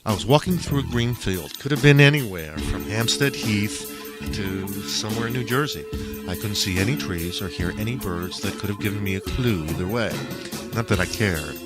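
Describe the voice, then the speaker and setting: A man reading surreal first-person fiction as a dramatic audiobook, swinging between emphatic lines and muttered asides. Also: mid-range voice. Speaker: author reading own stories.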